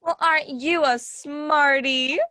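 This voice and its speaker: A woman's voice: high-pitched, steady tone, takes it slowly, bright, forceful tone, no echo, studio quality, exaggerated voice. A woman playing Red, a playful girl who teases and makes puns, in an amateur stage play. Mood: teasing. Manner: teasing, giggly, joking. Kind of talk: amateur theatre acting.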